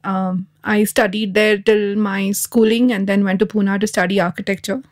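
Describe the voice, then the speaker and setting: A woman's voice: medium pitch, little pitch movement, moderate pace. A woman in her thirties from Dubai, a podcast guest sharing personal experiences in a candid, reflective way. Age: 30s. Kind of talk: personal storytelling in an interview.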